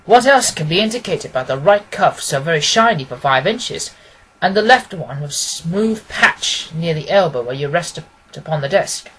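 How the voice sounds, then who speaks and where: A woman voicing a confident, quick-witted gentleman detective from a storybook, precise and amused. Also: low-pitched, lively intonation, slight background noise, low-quality recording. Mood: assured. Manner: precise, expository, assured.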